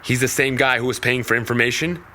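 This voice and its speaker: A man's voice: mid-range voice, steady tone, fast talker, pushed and bright, a little hiss or hum, natural voice. An actor playing a stern, protective young man in an audio drama, terse and commanding. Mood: threatening, commanding.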